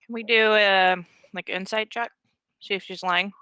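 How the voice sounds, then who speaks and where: A woman's voice: mid-range voice, fairly even intonation, moderate pace, sharp tone, smooth, no room sound. A woman playing an online tabletop game, chatting casually with the other players.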